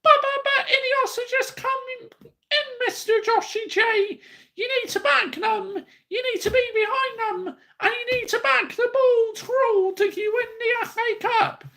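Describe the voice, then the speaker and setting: A man putting on a squeaky whining critic. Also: unhurried, gravelly, pushed and bright, heavily acted. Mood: mocking whine. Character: whiny mocking male critic, high squeak.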